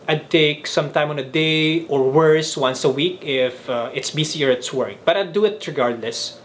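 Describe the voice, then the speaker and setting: A young man from the Philippines whose first language is Filipino tells his language-learning story in an earnest, measured way. His voice sits a little higher than most men's. A faint hiss of room noise stays behind him throughout. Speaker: language vlogger. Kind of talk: scripted vlog narration.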